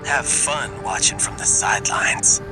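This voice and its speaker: A cocky male rival pilot from a mech game, played by an actor, drawls his taunts slowly. The sneering mid-pitched voice sits over clear music. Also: lightly acted. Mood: taunting. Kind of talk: video game voice acting.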